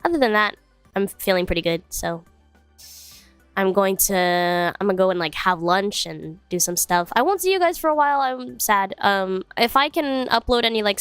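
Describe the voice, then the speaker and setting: A woman's voice: mid-range voice, clean tone, dry recording. A young woman streamer, a little congested, chatting casually with viewers from home and drifting between topics. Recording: dry close mic, faint music behind.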